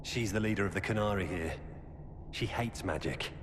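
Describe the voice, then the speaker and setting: An actor playing a weary, earnest former knight turned defector, giving grave, urgent warnings in a video game. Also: male voice, fairly low pitch, normal speed, lightly acted. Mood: urgent, pleading. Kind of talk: dramatic video game dialogue. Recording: cavernous reverb with loud background noise.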